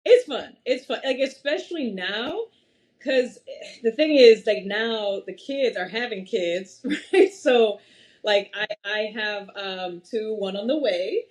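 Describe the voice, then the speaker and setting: A young woman from Massachusetts, a podcast guest, talking at length in an animated, storytelling way. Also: medium pitch, takes it slowly. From Massachusetts, USA. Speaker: podcast guest, wealth strategist. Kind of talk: casual interview chat.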